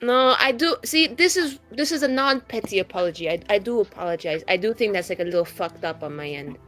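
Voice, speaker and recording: A woman's voice: medium pitch, expressive, fairly quick, a bit bright, soft background music, close to an everyday voice. A woman voicing a brash, foul-mouthed young female mage of twenty-two in a rambling, dramatic tabletop roleplay. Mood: sheepish. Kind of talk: improvised in-character roleplay.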